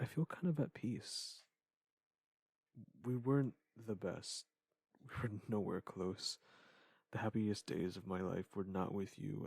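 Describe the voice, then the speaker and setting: A man acts out a wistful ex-lover with a low, gravelly voice, recorded close and dry. The delivery is halting and reflective, like an intimate audio drama confession left as a goodbye message. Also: a little mellow, no echo, full character voice. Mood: anxious, unburdening, then sad, reflective. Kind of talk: intimate scripted audio monologue.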